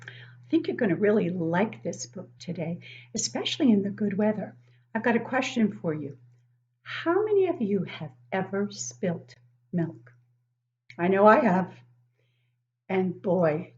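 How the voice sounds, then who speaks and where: An older woman reads a picture book aloud to young children like a teacher, expressively, pausing to ask them questions. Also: medium pitch, dark tone, lo-fi sound. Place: living room at home, indoors.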